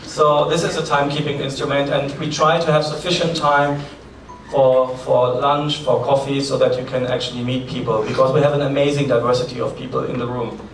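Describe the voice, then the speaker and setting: A man's voice: medium pitch, flat delivery, brisk. A man with a German accent opening a conference as its host, giving practical announcements in a chatty way with light asides. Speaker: forum host. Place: large conference hall. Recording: echoey hall, distant mic, faint noise.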